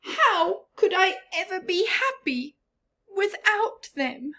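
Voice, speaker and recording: Woman acting a proud male fish, children's storybook, expressive, slow delivery, very lively pitch. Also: full character voice. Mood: indignant. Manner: dramatic, expressive. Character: proud, reluctant, vain rainbow fish, male, later happy. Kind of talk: children's storybook read-aloud.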